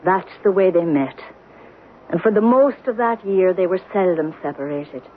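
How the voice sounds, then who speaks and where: A woman's voice: lowish voice, animated, moderate pace, close to an everyday voice. An actress playing a blunt, brooding older Irishwoman in an old-time radio drama, speaking with an Irish accent. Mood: somber narration. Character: older Irishwoman, blunt, brooding, recounting her sister's past. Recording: tinny, hissy old radio broadcast.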